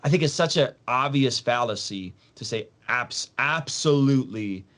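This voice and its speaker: A man's voice: mid-range voice, animated, moderate pace, a bit bright. A man from Soviet Russia, a podcast guest explaining things in an emphatic, casual, slangy way, repeating phrases and posing rhetorical questions.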